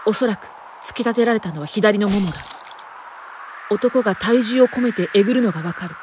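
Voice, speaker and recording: A woman performing a first-person horror story narration in a theatrical, intense manner, moving between hushed and emphatic delivery. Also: mid-range voice, brisk, background noise.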